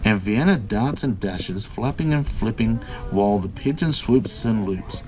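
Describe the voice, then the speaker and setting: A man reading a picture book aloud to small children, adding simple, gentle asides as he goes. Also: on the low side, lively intonation, background noise. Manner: pauses for gentle, simple commentary aimed at children.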